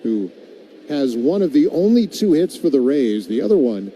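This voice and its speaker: A man calling a baseball game as a radio play-by-play announcer, describing each pitch and filling in between with commentary. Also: big pitch swings, normal speed, mellow, a little hiss or hum, poor audio.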